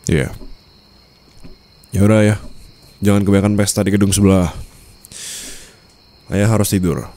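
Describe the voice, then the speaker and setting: A man playing a cool, controlling young mafia boss and new husband in an ASMR roleplay, composed, formal and quietly commanding. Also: fairly low pitch, fairly even intonation, moderate pace, pauses often, close to an everyday voice. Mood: polite, reassuring. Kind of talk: Indonesian ASMR roleplay acting.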